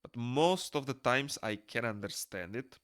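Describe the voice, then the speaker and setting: A man's voice: mid-range voice, animated, measured pace, a bit bright, clear, resonant tone, no echo. A young man from Moldova with a Moldovan accent, chatting casually with his viewers while he draws on a live stream, reading their messages aloud and answering them. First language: Romanian. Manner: rambling, reads chat aloud and answers it. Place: small room indoors.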